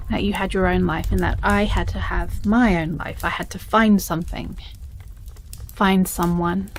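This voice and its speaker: A woman with a British accent playing an obsessive, possessive, unstable stepsister in a dramatic audio roleplay, speaking haltingly with heavy pauses. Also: mid-range voice, slight background noise, natural voice. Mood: bitter, brooding.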